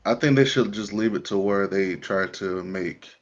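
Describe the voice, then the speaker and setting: A man's voice: fairly low pitch, moderate pace, slight background noise. A man talking casually as a panelist in a group discussion, heard over muffled online call audio. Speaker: panelist on an online pop culture show.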